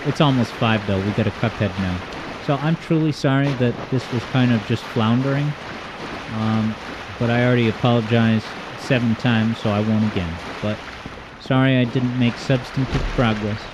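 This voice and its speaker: A man hosting a gaming livestream from home, reading viewer comments aloud in a rambling, joking, self-deprecating way, with a smooth voice. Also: medium pitch, normal speed. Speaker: livestream host. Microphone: streaming mic with boosted EQ. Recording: steady background noise.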